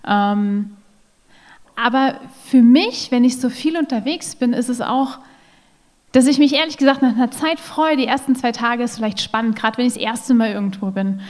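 A woman's voice: on the high side, normal speed, darkish tone, long reverb tail. A woman speaking casually and personally to an audience at a church service. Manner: chatty and personal.

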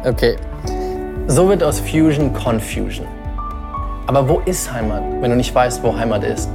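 A man, a parent, slowly reciting rhyming spoken-word poetry. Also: medium pitch, expressive, gravelly. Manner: slow, rhyming delivery. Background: music.

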